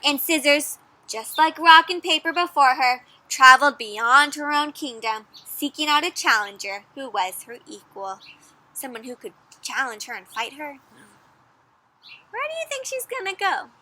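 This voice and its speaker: A young woman reading a picture book aloud to children in a lively way, gasping and asking her listeners questions. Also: high voice, poor audio. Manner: expressive, asks children questions, gasps and dramatic pauses. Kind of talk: animated children's read-aloud.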